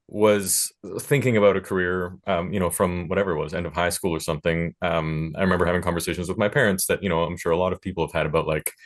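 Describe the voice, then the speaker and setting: Very deep-voiced man on a panel. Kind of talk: panel discussion, giving career advice.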